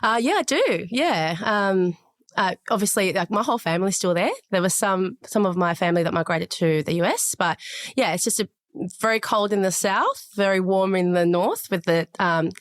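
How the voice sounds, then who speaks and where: A woman from Chile raised in Australia, a podcast guest talking through her career in a thoughtful, measured way with frequent fillers. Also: mid-range voice. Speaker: podcast guest, sales director. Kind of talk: conversational interview.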